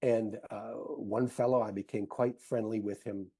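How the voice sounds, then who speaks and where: A man answering as an interview guest, halting and searching for his words in conversation. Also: lowish voice, fairly even intonation, mellow, husky.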